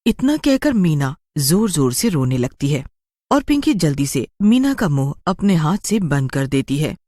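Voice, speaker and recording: A woman's voice: sits low, big pitch swings, unhurried, dark tone, dry recording, clean studio sound. A woman storyteller giving scripted, dramatic narration of a story.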